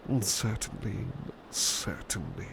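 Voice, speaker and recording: A man playing a devoted, tender butler in an intimate ASMR roleplay, speaking in a hushed, sleepy, halting voice that often slips into whispers. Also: on the low side, creaky, background noise. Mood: sleepy, caring. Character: devoted, tender male butler comforting a beloved at bedtime.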